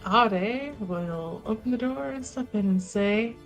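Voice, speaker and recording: A woman's voice: medium pitch, takes it slowly, soft background music. A woman player narrating her roleplaying character casually, in an informal, rambling, sweary way. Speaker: player in a tabletop roleplaying game.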